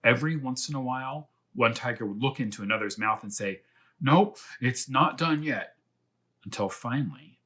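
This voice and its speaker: Husky, lowish-voiced man teaching young children. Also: a bit bright. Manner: simple short phrases with repetition for children. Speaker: first grade teacher reading aloud. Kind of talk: storytime read-aloud and discussion for young children.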